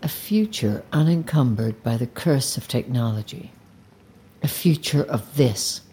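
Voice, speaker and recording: An actor playing a calm, zealous leader of an anti-technology movement in an audio drama, speaking in a measured, solemn, deliberate way. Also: male voice, medium pitch, husky. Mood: solemn conviction. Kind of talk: immersive audio drama acting.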